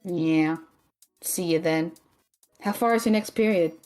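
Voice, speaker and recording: A woman playing a stern, stressed young female magic student in an audio drama, with precise, deadpan, teasing delivery. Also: on the low side, a little slow, faint music behind, close to an everyday voice. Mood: dry. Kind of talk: audio drama acting, dry banter.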